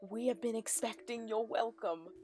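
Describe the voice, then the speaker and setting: A woman's voice: fairly high pitch, moderate pace, natural voice. A woman voicing an authoritative female pony flight coach with a British accent, formal and commanding. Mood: welcoming. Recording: music under the voice.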